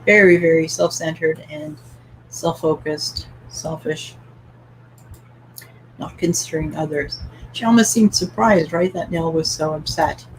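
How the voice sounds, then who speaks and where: A woman's voice: deep voice, fairly even intonation, measured pace, a little hiss or hum, poor audio. A woman from Canada hosting a live-streamed book club, asking open questions and reading viewer comments aloud with light laughter.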